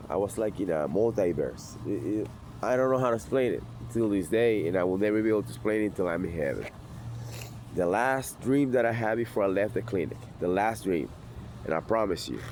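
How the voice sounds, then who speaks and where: A man's voice: low voice, darkish tone, a little hiss or hum. A man, telling a personal sobriety story outdoors in a blunt, intense, street-tough way. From Venezuela. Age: 40s. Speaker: sobriety vlogger.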